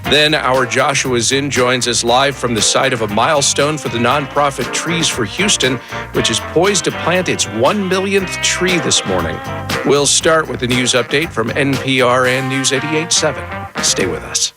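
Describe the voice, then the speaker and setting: A man's voice: medium pitch, normal speed. A man with an American accent hosting a radio show, reading a scripted introduction. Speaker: talk show host. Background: music bed with guitar.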